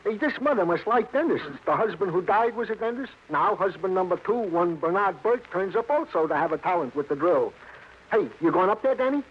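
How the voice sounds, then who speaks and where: High-pitched, chatty American police sidekick. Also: male voice, animated, a little fast. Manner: colloquial, chatty. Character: earnest, talkative male police detective sidekick. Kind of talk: 1950s American radio crime drama acting. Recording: dull old radio recording, faint noise.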